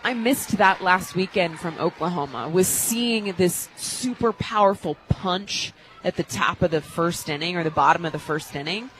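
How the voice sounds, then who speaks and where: A woman giving live softball analysis as a radio color commentator in an explanatory, conversational way. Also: lowish voice, normal speed, slight background noise, low-quality recording. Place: radio booth at a softball stadium.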